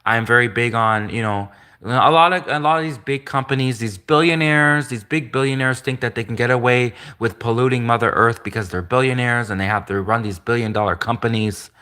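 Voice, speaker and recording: A man hosts a solo live stream, chatting to viewers in loose, rambling sentences and repeating his points. His voice is soft, with a bright edge, and some listeners hear it as gender-ambiguous. He sits at a middle pitch and sounds earnest. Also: fairly quick, smooth. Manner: repetitive, earnest, restates points often; greets viewers and reads comments aloud.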